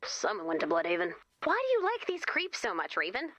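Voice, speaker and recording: An actress playing a young, perky camp leader in a video game, chatty, expressive and wryly exasperated. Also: female voice, medium pitch, fairly quick, no echo. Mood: wry, incredulous. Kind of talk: video game character voice lines.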